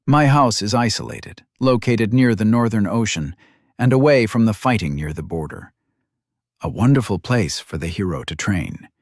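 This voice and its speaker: A man's voice: lowish voice, animated, no echo, clean studio sound. A man voicing a dignified old elf mage in an audiobook, patient and formal, choosing words precisely. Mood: earnest, persuasive. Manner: formal, measured, precise wording.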